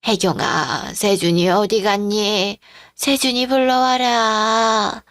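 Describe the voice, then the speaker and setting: A woman's voice: mid-range voice, slow pace, a bit bright, no echo, clean studio sound. A woman playing a frail, confused elderly mother-in-law, tender and longing, in a radio-drama style story.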